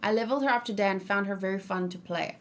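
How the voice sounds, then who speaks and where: A woman's voice: medium pitch, fast. A young woman streaming and reacting live, chatting casually, reading viewer comments aloud and rambling with frequent fillers. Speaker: streamer reacting to game character.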